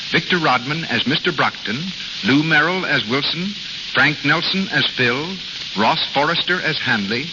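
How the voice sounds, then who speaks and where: A man announcing formally from a script in the style of 1930s radio. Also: mid-range voice. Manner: formal, list-reading delivery. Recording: muffled, hissy vintage radio recording.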